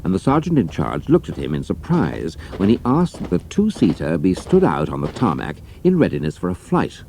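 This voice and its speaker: A man's voice: low voice, huge pitch swings, moderate pace, dark tone, slight background noise. A British man reading scripted narration for an adventure story.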